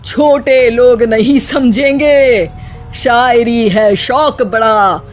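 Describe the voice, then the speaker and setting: Woman playing a pompous, high-voiced elderly mother-in-law. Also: drawn-out words. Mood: boastful. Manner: pompous, declamatory rhyming. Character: boastful elderly mother-in-law obsessed with reciting rhymes. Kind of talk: animated moral story dialogue in verse. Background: background music.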